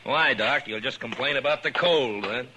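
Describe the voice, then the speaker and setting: An actor playing a gruff, wry US marshal in a 1950s western radio drama. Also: male voice, mid-range voice, moderate pace, natural voice. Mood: wry, friendly. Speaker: lead actor. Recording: 1950s radio recording, faint noise.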